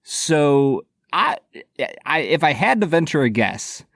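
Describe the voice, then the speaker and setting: A man co-hosting a podcast in casual chat, teasing his partner and quick to laugh. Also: fairly high pitch, sing-song, fairly bright tone, no echo, studio quality. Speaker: podcast co-host.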